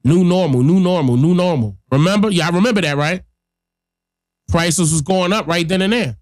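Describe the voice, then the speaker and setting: A man with a fairly high voice and a stuffy, congested nose talks bluntly and emphatically to his audience as a podcast host. His speech is close and dry, with no room sound around it. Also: moderate pace, a little mellow, dry recording. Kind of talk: casual political commentary.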